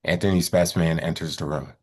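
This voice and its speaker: A man's voice: extremely low pitch, fairly even intonation, fairly quick, a little mellow, breathy edge. A man reading stage directions aloud as scripted narration at a live screenplay table read.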